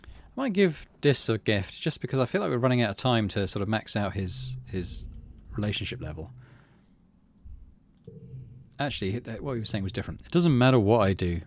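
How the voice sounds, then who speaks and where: A man's voice: lowish voice, animated, normal speed, long pauses, slight background noise. A man hosting a game playthrough, switching between casual commentary and reading story text aloud. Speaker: gaming channel host.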